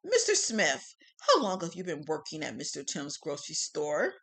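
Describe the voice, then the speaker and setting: A woman's voice: deep voice, wildly swooping pitch, moderate pace, fairly bright tone, voice pushed down, heavily acted. A woman voicing a male prosecutor who presses and accuses a cashier in a classroom courtroom skit. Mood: accusing. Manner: pushy, pressing. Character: accusing male lawyer, pushy and blunt.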